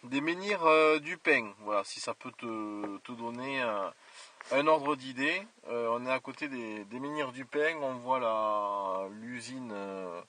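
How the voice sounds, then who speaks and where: A man working as an amateur radio operator, chatting casually in a hesitant, halting way. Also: medium pitch, bright, forceful tone. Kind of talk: casual radio contact chat. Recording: voice distant from the camera microphone.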